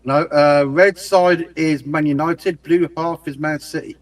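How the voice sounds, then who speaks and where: A man's voice: a little high, fairly even intonation, a little slow, dry recording. A man streaming a game, chatting casually with friends in a rambling, stammering way and swearing often. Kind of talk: casual voice chat while gaming.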